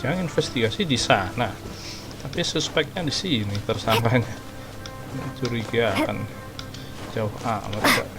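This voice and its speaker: A man's voice: mid-range voice, moderate pace, loud music behind the voice. A man from Indonesia giving casual gameplay commentary as a streamer, translating as he goes. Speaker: gaming streamer.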